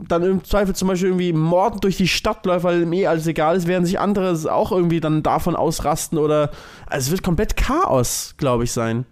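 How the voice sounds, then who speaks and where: A man's voice: a little high, fairly quick. A man in casual podcast chat as a co-host, explaining and giving opinions in colloquial language.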